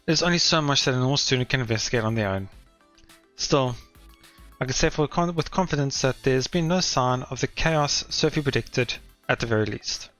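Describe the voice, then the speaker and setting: A man's voice: mid-range voice, fairly quick, fairly bright tone, faint music behind, close to an everyday voice. A man reading aloud as a wry, self-deprecating teenage schoolboy narrating his own story from a visual novel, in a dry manner.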